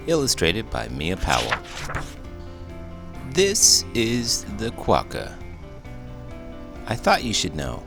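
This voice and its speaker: A man puts on a low-pitched cartoon horse with a wildly swooping delivery. He is a cheeky, curious storybook sidekick, theatrical and unhurried as he reads to children. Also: gravelly, heavily acted. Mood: playful, theatrical. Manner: theatrical, exaggerated. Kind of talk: read-aloud children's storytelling with banter.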